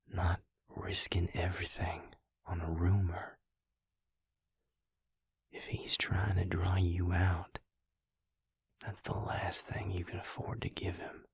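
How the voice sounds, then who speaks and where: Guy doing a protective vampire boyfriend, slow gravelly whisper, gentle but determined, folksy, super dry close asmr roleplay sound. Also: sits low, no echo, exaggerated voice. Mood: firm, concerned. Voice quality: breathy, husky whisper. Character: protective male vampire lover, gentle, earnest, resolute.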